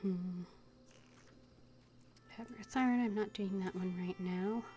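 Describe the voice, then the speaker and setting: A woman's voice: mid-range voice, slow, warm tone, slight background noise. A woman streamer playing a video game, reading dialogue aloud and muttering to herself.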